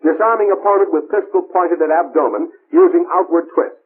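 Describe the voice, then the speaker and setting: A man's voice: high voice, a little fast, breathy edge. A man with an American accent narrating a 1940s military training film in a clipped, formal, authoritative manner, on an old tinny film soundtrack. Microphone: old film voice-over recording.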